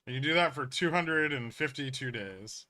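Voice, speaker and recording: Bright-voiced man, blunt livestream host. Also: mid-range voice, lively intonation, normal speed. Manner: blunt, swearing, emphatic explaining. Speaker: livestream host, trading educator. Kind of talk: casual livestream chat, answering viewer questions.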